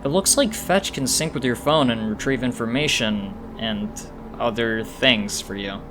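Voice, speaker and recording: A man's voice: mid-range voice, a little slow, over music, lightly acted. A man playing a cautious, sensible teenage boy in a comic dub, speaking matter-of-factly. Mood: curious.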